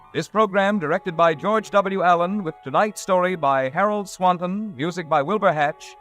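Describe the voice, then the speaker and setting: A man's voice: sits high, a bit bright. A man announcing for a 1940s radio show, delivering the sponsor's commercial and sign-off in a polished, persuasive manner. Faint music plays behind him.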